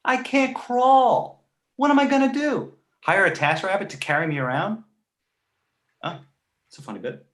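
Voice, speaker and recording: A man playing a precocious, sarcastic baby boy with a dry, mock-innocent way of needling, in an animated comedy table read. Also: high-pitched, moderate pace, long pauses. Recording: echoey room.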